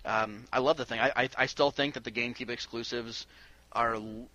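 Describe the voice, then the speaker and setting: A man's voice: mid-range voice, fairly even intonation, brisk, sharp tone, lo-fi sound. A man in his early thirties hosting a casual podcast discussion about video games. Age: early 30s. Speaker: podcast host.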